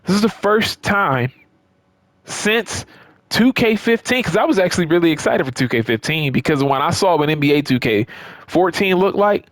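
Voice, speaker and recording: A man with a slightly high voice gives casual, unscripted gaming commentary in a close, dry recording. He is emphatic, repeats phrases to drive them home and keeps checking in with his audience as he goes. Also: animated, normal speed, a bit bright, no room sound. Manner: conversational, checks in with listeners. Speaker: gaming YouTuber.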